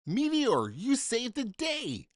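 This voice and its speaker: An encouraging astronaut from a children's storybook, voiced by a man reading aloud. The voice is lifted in pitch and emphatic, with big swings, in a dry recording. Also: huge pitch swings, slow, dry recording, full character voice. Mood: delighted. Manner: exclamatory, emphatic storybook delivery. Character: astonished, encouraging space mission commander and crew astronauts.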